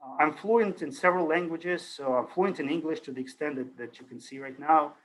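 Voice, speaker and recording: A man's voice: on the high side, a little fast, rough recording. A middle-aged man from Ukraine, a native Russian speaker, giving a casual university lecture over a video call, rambling with many fillers and restarts.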